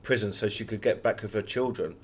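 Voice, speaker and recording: A man's voice: fairly low pitch, a little fast, husky. A man giving conversational, anecdotal answers as an author being interviewed.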